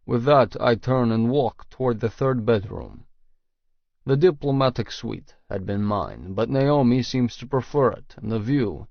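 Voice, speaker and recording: A man reading first-person audiobook narration in a measured, serious way, with a slightly dull, dry sound. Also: medium pitch, expressive, vocal fry, no echo.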